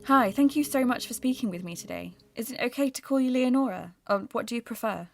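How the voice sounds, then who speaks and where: A woman playing a curious, polite podcast host and investigator, speaking in a measured, everyday way as in a scripted audio drama. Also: on the high side, gravelly, faint music behind. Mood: polite, interested. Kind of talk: scripted narration and staged interview.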